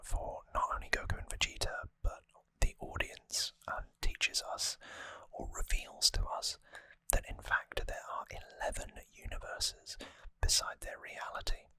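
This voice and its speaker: An ASMR host whispering breathily and close to the microphone, explaining things softly and informally with some hesitation. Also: no room sound. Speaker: host of a trivia ASMR show. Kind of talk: ASMR whispered episode recap.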